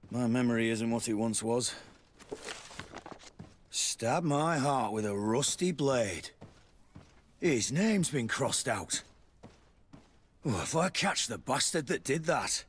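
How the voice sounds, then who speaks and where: An actor playing a forgetful, salty old-hand barracks clerk in a video game, colloquial and given to colourful exclamations. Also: male voice, medium pitch, lively intonation, takes it slowly, pauses often, breathy edge. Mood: incredulous, indignant. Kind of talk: dramatic video game acting.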